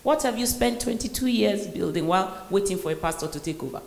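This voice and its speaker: A Nigerian woman hosts a live session, telling stories in an animated, laughing way. Her voice sits at an ordinary pitch and rings through a large echoey hall. A faint noise hangs underneath. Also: a little fast, gravelly. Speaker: host. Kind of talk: lively onstage conversation.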